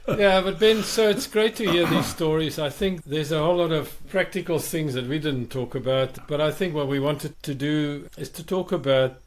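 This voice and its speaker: A man's voice: on the high side, normal speed, few pauses. A middle-aged man with a South African accent, co-hosting a podcast and speaking in a thoughtful, emphatic way about migration. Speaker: podcast co-host. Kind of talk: reflective podcast conversation.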